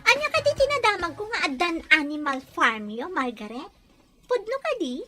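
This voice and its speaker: A woman's voice: very high-pitched, very animated, normal speed, soft background music, full character voice. A woman playing a boastful, competitive, envious schoolgirl in an Ilocano radio drama. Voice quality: sharp, childlike voice.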